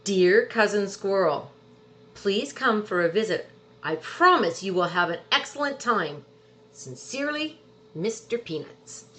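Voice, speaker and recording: A woman's voice: medium pitch, lively intonation, measured pace, a bit bright. A woman voicing a friendly, eager male squirrel from a children's storybook, reading out a letter in a cheery, emphatic way. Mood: hopeful, polite.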